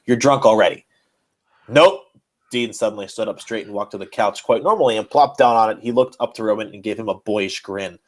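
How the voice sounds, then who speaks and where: A man's voice: on the low side, quick pace, few pauses. A man hosting a podcast, giving a mock-serious dramatic reading of fan fiction. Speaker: podcast host.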